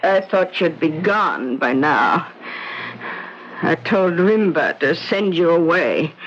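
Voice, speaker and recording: A woman's voice: deep voice, animated, heavily acted. An actress playing a menacing old hag who lives with her cats, theatrical and vengeful, in old-time radio drama style. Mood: quietly menacing. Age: older. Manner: slow, theatrical, vengeful incanting. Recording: dull, noisy old radio recording.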